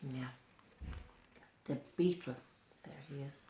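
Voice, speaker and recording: A woman's voice: extremely low pitch, slow, mellow, reverberant, slight background noise. A woman reading a picture book aloud to young children, often prompting them with simple questions. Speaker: storyteller for children.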